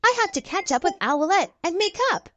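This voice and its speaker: A woman's voice: very high voice, expressive, fairly quick, fairly bright tone, dry recording, highs cut off, voice pushed up. A woman voicing a cheeky, confident young boy superhero who leads his team in a storybook, breezy and insistent. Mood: pleading.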